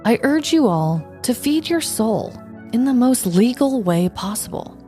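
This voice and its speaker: A woman's voice: a little high, very animated, a little slow, warm tone, soft background music. A woman podcast host reading a scripted, comedic story narration.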